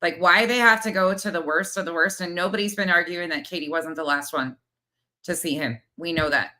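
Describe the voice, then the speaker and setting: A woman's voice: lowish voice, fairly quick, pushed and bright. A woman hosting a casual reaction commentary, sarcastic and mocking, often repeating her points. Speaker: host reviewing another commentator's video.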